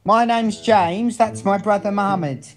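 A woman's voice: medium pitch, measured pace. A woman chatting casually as a caller on a voice chat app.

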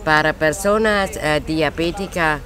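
A man vendor haltingly listing his products at an outdoor market. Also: a little high, crowd noise. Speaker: food vendor.

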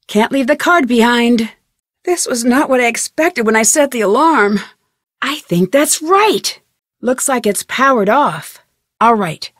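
A woman playing a young, inquisitive and determined female amateur detective in a video game, speaking her scripted lines clearly. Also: a little high, no echo, clean studio sound. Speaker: voice actress in a detective adventure game.

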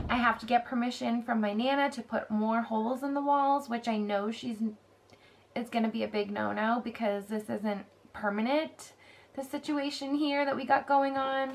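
Girl in her 20s chatting in her bedroom, kinda hoarse, lots of likes and ums, bit of hiss on the cam mic. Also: on the high side. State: slightly hoarse, losing her voice. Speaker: vlogger. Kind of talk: casual haul vlog chat. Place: bedroom, indoors.

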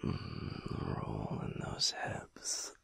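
A man playing a flirty, affectionate werewolf lover in an intimate audio roleplay, teasing in a breathy, gravelly murmur. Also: extremely slow, a bit bright, in a whisper. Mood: sultry, teasing.

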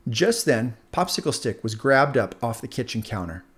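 A man narrating a scripted children's story aloud in an expressive storyteller's manner. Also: mid-range voice, normal speed. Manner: expressive, animated.

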